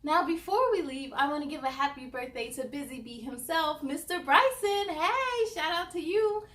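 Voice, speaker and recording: High-pitched woman hosting children's story time. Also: moderate pace. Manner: animated, sing-song, addressing young viewers directly. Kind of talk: children's read-aloud with chatty asides.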